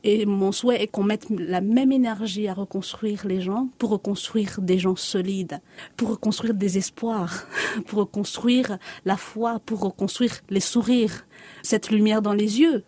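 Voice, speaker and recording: A woman in her thirties, from Congo-Kinshasa, giving reflective interview answers as an artist, measured and building on repeated phrases. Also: mid-range voice, steady tone, dark tone, no echo.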